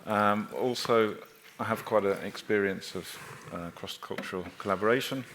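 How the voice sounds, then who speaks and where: A man's voice: on the low side, normal speed, fairly bright tone, a little hiss or hum. A man moderating a conference panel, polite and inviting, with many hesitant fillers. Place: conference stage in a hall.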